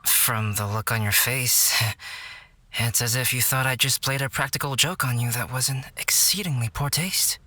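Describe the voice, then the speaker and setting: Anime game scholar: young male alchemist, calm, measured, subtly teasing, mid-pitched and bright. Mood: puzzled, amused. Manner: measured, composed, articulate.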